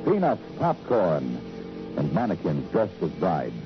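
An actor playing a hard-boiled police detective who narrates in a poetic, clipped, dramatic way in a 1950s radio crime drama. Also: male voice, low-pitched, huge pitch swings, unhurried, full character voice. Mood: wistful, brooding. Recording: muffled old radio recording, loud music behind.

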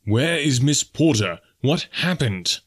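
A man voicing an urgent, commanding gentleman with insistent exclamations in an audiobook adventure. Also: medium pitch, lively intonation, slow pace, breathy edge, voice pushed up, heavily acted. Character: urgent, commanding young gentleman searcher in the jungle.

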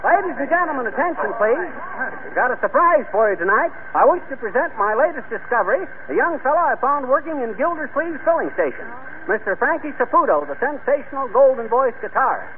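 An actor playing a blustery, fast-talking small-time showman in a radio comedy, bombastic and slangy, on a narrow, noisy vintage broadcast. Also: extremely high pitch, big pitch swings. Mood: announcing grandly. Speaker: lead comic actor.

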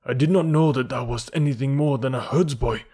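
A man voicing a frightened, servile old village hunter with a husky voice in a storybook reading. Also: medium pitch, a little fast, mellow, voice pushed up. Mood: fearful pleading.

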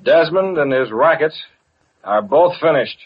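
An actor playing a masked vigilante and newspaper boss, commanding and assured, in an old radio drama. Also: male voice, fairly high pitch, lively intonation, a little slow, heavy background noise. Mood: brisk, satisfied. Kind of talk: 1940s radio acting. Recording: muffled vintage radio recording.

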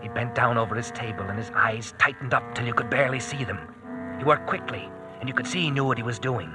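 A man's voice: mid-range voice, quick pace, poor audio. A man narrating a hard-boiled old-time radio drama in scripted, storytelling style. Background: dramatic music.

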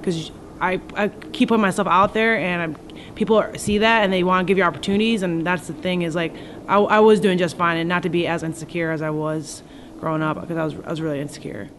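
Man, high voice, candid, halting, faint ambience. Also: steady tone, fairly bright tone, slight background noise. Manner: candid, hesitant, self-revealing. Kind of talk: conference interview.

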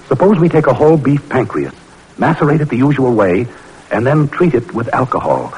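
A man's voice: medium pitch, sing-song, fairly quick, darkish tone, husky. A man playing a level-headed, thoughtful physiologist and lab partner in an old-time radio drama, matter-of-fact in manner. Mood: thoughtful, hopeful. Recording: noisy old radio recording.

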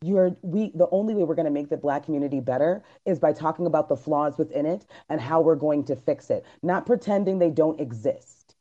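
A man co-hosting a loose, casual podcast talk, pressing his points with emphatic, preachy energy. His voice is mellow and warm. The recording sounds a little dull and lo-fi. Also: on the high side, fairly quick. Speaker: podcast co-host. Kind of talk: casual group chat on a live stream.

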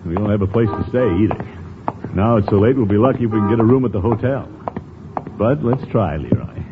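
Man acting blustering low-voiced uncle, old radio. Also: animated, a little fast, natural voice. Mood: exasperated. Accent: American. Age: middle-aged. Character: fussy, pompous middle-aged uncle, blustering but good-natured. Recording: tinny, noisy old radio recording.

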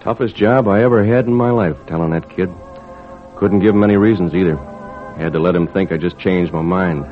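An actor playing a plain-spoken, kindly fishing skipper who also narrates, in old-time radio drama style. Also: male voice, low-pitched, brisk, close to an everyday voice. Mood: rueful. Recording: muffled old radio recording with music.

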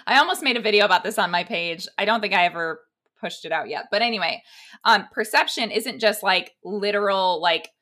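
Bright, mid-pitched woman explaining things casually. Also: expressive, a little fast. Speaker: podcast co-host. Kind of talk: casual explanatory podcast chat.

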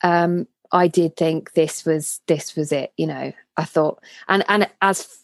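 A woman's voice: sits low, steady tone, slow pace, vocal fry, no room sound, clean studio sound. A woman in her thirties co-hosting a podcast, talking in a casual, heartfelt and reflective way that is full of restarts. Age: 30s. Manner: reflective, many restarts and trailing phrases. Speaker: podcast co-host.

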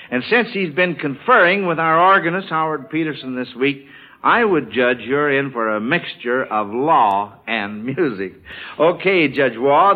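A man's voice: mid-range voice, phone-quality sound. An American man hosting a quiz show in a scripted 1940s radio announcing style, on an old broadcast recording.

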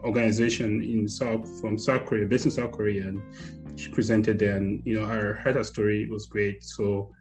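A man's voice: lowish voice, darkish tone, low-quality recording. A man hosting a podcast, asking his guest loose, meandering questions. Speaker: podcast host. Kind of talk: casual podcast interview.

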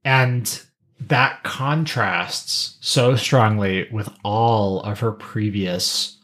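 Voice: medium pitch, extremely slow, a bit bright. A podcast co-host in a casual pop-culture discussion, wry and given to voicing imagined lines.

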